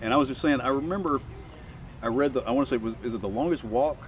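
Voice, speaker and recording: A man's voice: medium pitch, very fast. A man hosting an interview, eagerly affirming his guest and summing up the lessons. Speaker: interview host. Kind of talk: casual interview. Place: outdoors at a rural gathering. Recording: distant mic in a noisy outdoor setting.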